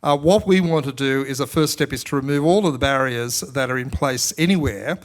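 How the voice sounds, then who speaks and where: Middle-pitched man running a panel Q&A, casual and a little stumbling. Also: a little fast. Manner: conversational, stumbling restarts. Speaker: commissioner, panel moderator. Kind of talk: panel moderation. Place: public forum hall.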